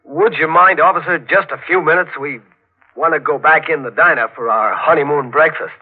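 Man as a hesitant, higher-voiced young newlywed. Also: moderate pace, lightly acted. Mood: hesitant, polite. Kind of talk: 1940s radio drama acting. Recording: vintage 1940s radio, narrow band.

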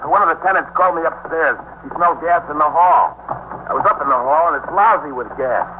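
An actor playing an excitable, helpful working-class male building superintendent in a 1950s radio police drama, talking colloquially and urgently. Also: a little high, a little fast, lightly acted. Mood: agitated. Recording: very noisy narrowband 1950s radio recording.

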